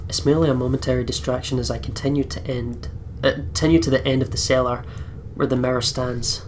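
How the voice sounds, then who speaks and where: Mid-pitched let's play host reading dramatically. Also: fairly even intonation, fast talker, a little hiss or hum. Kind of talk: reading game narration aloud with casual commentary.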